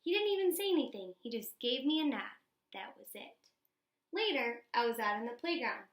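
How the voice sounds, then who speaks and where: A woman reading a children's picture book aloud like a teacher, with animated, dramatic emphasis and pauses. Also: fairly high pitch. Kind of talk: expressive scripted storybook narration for children. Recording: echoey, roomy sound.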